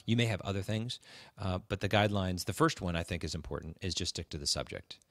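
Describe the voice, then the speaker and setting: Deep-voiced man hosting a podcast, dry sound. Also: quick pace, dry recording. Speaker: podcast host. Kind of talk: conversational advice talk with a co-host.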